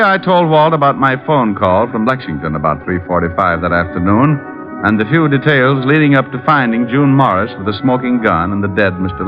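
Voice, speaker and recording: An actor playing a wry, easygoing private detective in a 1940s radio drama, narrating and talking in a dry, wisecracking way. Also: male voice, lowish voice, close to an everyday voice. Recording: muffled old radio recording.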